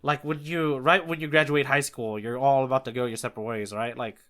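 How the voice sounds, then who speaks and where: A man's voice: mid-range voice, flat delivery, brisk, fairly bright tone. A man co-hosting a podcast, talking casually and enthusiastically about stories and manga. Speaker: podcast co-host.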